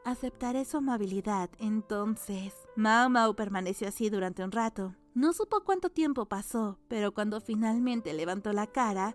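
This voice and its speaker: A woman reading a novel aloud as scripted audiobook narration. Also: medium pitch.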